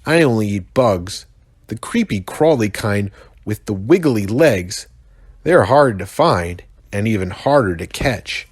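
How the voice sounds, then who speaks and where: A man voicing a tiny, polite female bush baby in a children's story, earnest and gently instructive. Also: on the low side, animated, measured pace, slightly rough, dry recording. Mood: wistful, earnest.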